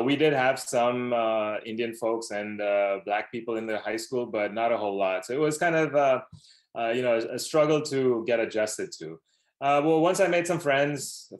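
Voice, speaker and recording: A man in his thirties from Dubai, a podcast guest telling his life story in a loose, rambling way with many fillers. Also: mid-range voice. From Dubai, Middle East. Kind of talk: casual storytelling interview.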